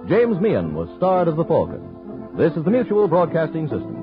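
High-pitched vintage male radio announcer. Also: wildly swooping pitch, fairly quick. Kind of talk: 1940s radio announcing, scripted. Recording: dull old radio recording. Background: loud organ music.

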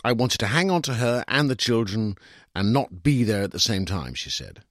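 A man narrating a literary short story in the first person, with a dry, aggrieved, self-justifying and expressive delivery. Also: lowish voice, normal speed, no echo.

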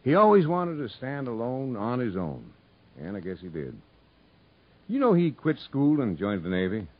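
Kindly, animated storytelling man. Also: mid-range voice, moderate pace. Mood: kindly, reflective. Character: kindly, reflective man telling a boy family history. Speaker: narrator. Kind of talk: scripted storytelling narration in 1950s American radio drama. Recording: dull 1950s radio broadcast recording.

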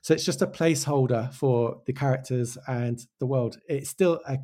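A man with an English accent, from Wales, giving casual, reflective interview answers as a show creator, often halting and restarting with real conviction. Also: medium pitch, dark tone. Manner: halting, self-interrupting, passionate about own work.

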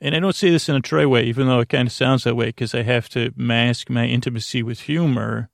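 A man hosting a sleep podcast in a rambling, halting monologue, trailing off and interrupting himself with whimsical, self-deprecating humor. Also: mid-range voice, fairly even intonation, vocal fry, no room sound, clean studio sound. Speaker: podcast host.

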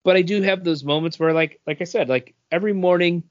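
A man's voice: high voice, fast talker, no echo. Man, casual podcast chat, filler-heavy, thinking aloud, dry close sound, lo-fi. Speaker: podcast co-host.

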